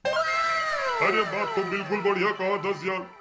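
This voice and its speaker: आदमी का निभाया ऊँची, उतार-चढ़ाव भरी आवाज़ वाला झोलाछाप डॉक्टर. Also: male voice, high-pitched, huge pitch swings, unhurried, over music, exaggerated voice. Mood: casual, reassuring. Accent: Chhattisgarhi. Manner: chatty, repeats reassurances. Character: casual, bumbling village quack doctor. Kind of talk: comedy cartoon dialogue. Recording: noisy track with clicks.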